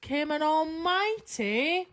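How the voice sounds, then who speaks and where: A woman's voice: high voice, expressive, takes it slowly, a bit bright. A woman reading a rhyming children's story aloud, expressively and with drama.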